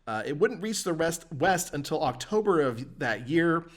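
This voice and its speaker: A man's voice: on the high side, sing-song, moderate pace. Man hosting a casual gaming podcast. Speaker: podcast host. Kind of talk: reading news and discussing games in casual podcast talk.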